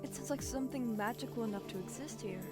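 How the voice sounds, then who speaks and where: Casting: woman as gentle, deferential female cartoon genie, fairly high voice, formal and wistful, clear music bed behind. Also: quick pace, close to an everyday voice. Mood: curious. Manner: formal, courteous phrasing. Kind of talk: cartoon comic dub acting.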